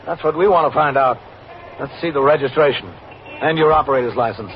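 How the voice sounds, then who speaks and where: Casting: male actor for commanding American police captain, clipped, factual delivery, mid-pitched, old dull radio sound. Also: a little fast, lightly acted. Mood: firm, commanding. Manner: clipped, matter-of-fact, commanding. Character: authoritative, businesslike New York police captain. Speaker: narrator and police captain character. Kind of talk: old-time radio police drama acting and narration. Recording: dull, noisy vintage radio recording.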